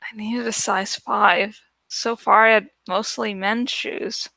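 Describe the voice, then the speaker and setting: A woman reading a story aloud as dramatic first-person audiobook narration, deliberate and expressive. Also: medium pitch, creaky, no echo. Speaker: book narrator.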